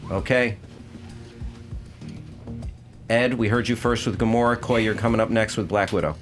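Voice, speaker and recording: A man's voice: lowish voice, fairly even intonation, fast talker, faint music behind. A man hosting and judging a movie debate show in a casual, unscripted way, weighing his words with some hesitation.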